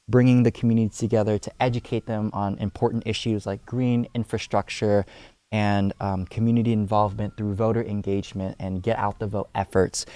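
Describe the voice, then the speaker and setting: An earnest young man answering podcast interview questions with many pauses and ums. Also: on the low side, dark tone, smooth, dry recording. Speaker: guest, community organizer.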